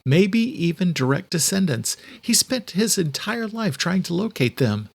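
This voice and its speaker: Guy doing a smart earnest woman in an audiobook, higher voice, kinda insistent explaining stuff. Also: sing-song, unhurried, gravelly, heavily acted. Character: earnest adult woman, quick-thinking twin sister. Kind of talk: audiobook character dialogue.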